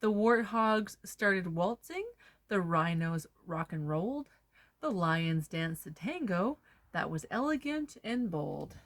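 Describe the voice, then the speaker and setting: A woman reading a storybook aloud to children in an expressive manner. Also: fairly low pitch, takes it slowly, dry recording. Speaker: read-aloud presenter for children.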